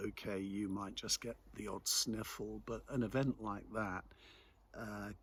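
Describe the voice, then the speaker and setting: A middle-aged English man giving a reflective spoken monologue in a measured, conversational, musing way. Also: lowish voice, breathy edge. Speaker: local commentator and host.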